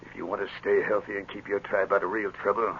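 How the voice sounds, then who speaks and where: An actor playing a big, cruel frontier villain who taunts and bullies in rough folksy speech, in 1940s radio drama style. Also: male voice, lowish voice, flat delivery, quick pace, a bit bright, lightly acted. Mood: menacing. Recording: dull, noisy 1940s radio recording.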